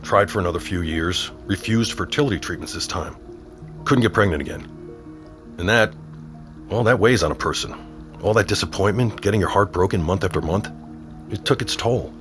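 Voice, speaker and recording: An actor playing a middle-aged small-town sheriff and father in an audio drama, wry, measured and protective in his talk. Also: low-pitched, fairly bright tone, soft background music. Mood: grave, gentle. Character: small-town sheriff dad, teasing yet protective and steady. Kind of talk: naturalistic audio drama acting.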